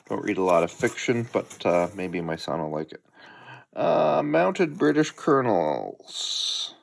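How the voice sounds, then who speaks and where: A man's voice: medium pitch, creaky. A middle-aged man hosting a hobby channel, chatting casually and unhurriedly as he unboxes a delivery at home.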